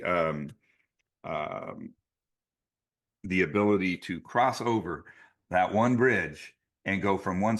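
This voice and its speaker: A man's voice: low-pitched, a bit bright. A man hosting a casual podcast interview, an athletic trainer who speaks emphatically and repeats points for effect. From California.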